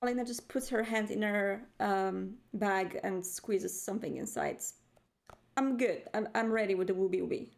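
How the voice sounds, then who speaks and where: A woman playing a tabletop role-playing game, casually narrating and voicing her character in a hesitant, halting way. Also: mid-range voice, vocal fry. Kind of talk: casual third-person narration of own character.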